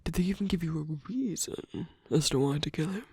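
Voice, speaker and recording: A man playing a suave, teasing villain in an intimate ASMR roleplay, murmuring softly and often slipping into whispers. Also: a little high, lively intonation, brisk, no echo, studio quality. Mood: scornful, persuasive. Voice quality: soft, breathy. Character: suave, teasing male villain at a royal masquerade.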